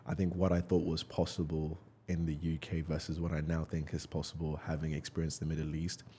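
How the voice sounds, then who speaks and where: A man's voice: very deep voice, completely flat delivery, a little fast, mellow, a little hiss or hum. A man hosting a podcast, speaking in an encouraging, motivational way and often agreeing with short "yeah"s. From United Kingdom. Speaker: podcast host. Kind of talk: casual interview talk.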